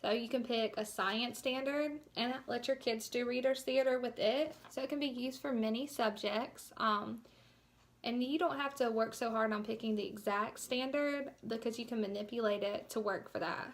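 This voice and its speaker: Young woman doing a class presentation, kinda high voice, lots of vocal fry, slightly unsure explaining. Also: normal speed. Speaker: student teacher presenting. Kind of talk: informal instructional presentation.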